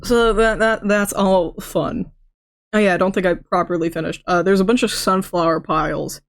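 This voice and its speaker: A woman streamer with a slight lisp talks casually and at length, her voice sitting at an ordinary middle pitch. She stumbles and repeats words as she goes, restarting them mid-sentence, and she often breaks into laughter. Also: fairly even intonation, moderate pace. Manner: chatty, rambling, laughs often. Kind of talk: casual live-stream chat.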